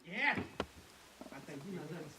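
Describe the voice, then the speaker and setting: A woman's voice: sits low, expressive, measured pace, shouting, very noisy. A woman dubbing director coaching informally with brief, instructive prompts, heard off-mic.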